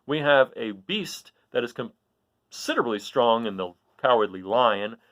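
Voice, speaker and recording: A man reading a children's story aloud with expressive, dramatic and emphatic phrasing. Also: medium pitch, measured pace, no echo.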